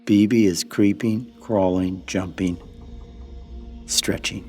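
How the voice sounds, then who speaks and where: A man's voice: on the low side, fairly even intonation, slow pace, darkish tone, faint music behind. A man narrating the stage directions of a radio play in terse, declarative statements. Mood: descriptive.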